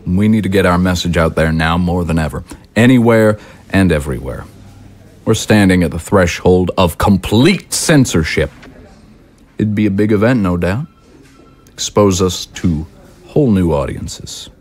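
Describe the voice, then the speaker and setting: Man acting a low-voiced, combative political firebrand. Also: lively intonation, moderate pace, darkish tone. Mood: combative, irritable. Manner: combative, emphatic, oratorical. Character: fiery, short-tempered right-wing political broadcaster and movement leader. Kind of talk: dramatic audio screenplay acting.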